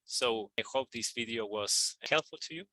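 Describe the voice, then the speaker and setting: A man teaching a tapping technique in a calm, repetitive guided manner, with fillers as he explains. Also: mid-range voice, normal speed, no room sound, low-quality recording. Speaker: EFT practitioner teaching tapping. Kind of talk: instructional video walkthrough.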